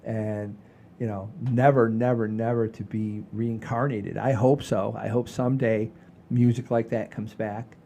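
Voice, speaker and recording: A man's voice: fairly low pitch, steady tone, a little slow, dark tone, a little hiss or hum. A man in his sixties hosting a casual comedy podcast, telling stories in an emphatic, repetitive, freely swearing way. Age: 60s. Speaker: podcast host. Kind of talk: casual comedic storytelling chat.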